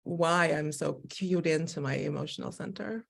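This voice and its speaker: Expressive lower-voiced woman sharing candidly. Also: normal speed, vocal fry, breathy edge. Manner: animated and expressive, laughing through her stories. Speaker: guest, Human Design educator. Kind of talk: candid personal conversation.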